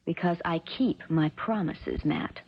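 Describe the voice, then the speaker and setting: Spoiled rich girl plotting in an old 70s radio play, husky lowish voice, coaxing and pushy, muffled sound. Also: a little slow. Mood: cold, coaxing. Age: young adult. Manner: insistent, coaxing, self-assured. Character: spoiled, scheming rich young daughter, coldly persuasive. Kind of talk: 1970s radio drama acting. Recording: muffled vintage radio broadcast recording.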